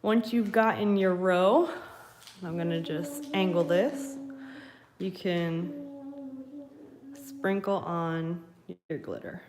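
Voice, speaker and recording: A sniffly young woman, still getting over a cold, walks viewers through a craft step by step. She speaks slowly and chattily, talking to her audience as she works. Recorded on a phone held above her craft table at home. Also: on the low side, darkish tone, a little hiss or hum. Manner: slow, chatty, talks to viewers while working. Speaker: craft channel host. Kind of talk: live craft tutorial, casual.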